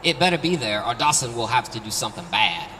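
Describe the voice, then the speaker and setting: A man's voice: medium pitch, sing-song, fast talker, sharp tone, pitched below the performer's own voice. A man reading a story aloud as a stubborn, self-important debt collector who makes blunt threats and talks about himself in third person. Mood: demanding, threatening. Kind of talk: acted dialogue in a short-story reading. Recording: distant, very noisy, reverberant street-side live recording.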